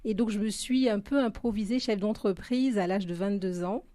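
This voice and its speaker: A woman's voice: medium pitch, moderate pace, mellow, dry recording. A woman in her forties from Guadeloupe, speaking conversationally as a guest in a radio interview. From Guadeloupe, then Paris from age 13. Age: 40s. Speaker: interview guest, business founder.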